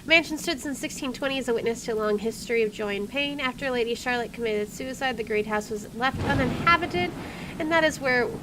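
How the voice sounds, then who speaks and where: A woman's voice: fairly high pitch, brisk. A woman hosting a casual game playthrough, chatty and playful with wry asides as she comments and reads aloud. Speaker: gaming video host. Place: indoors at home, air conditioner running. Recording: clear background air conditioner noise.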